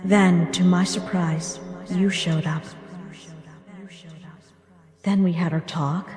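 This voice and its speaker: A woman acts out a gentle monster mother, back from death and wistful, for a comic dub. Her voice is soft-edged and mellow, tender as she reflects. It rings through a long, hall-like reverb with faint music underneath. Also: medium pitch, close to an everyday voice. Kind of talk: dramatic comic dub voice acting.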